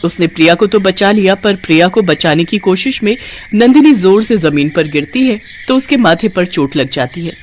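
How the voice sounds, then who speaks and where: A woman's voice: medium pitch, animated, moderate pace, darkish tone, slight background noise. Female narrator for scripted bedtime moral stories aimed at children. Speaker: story narrator.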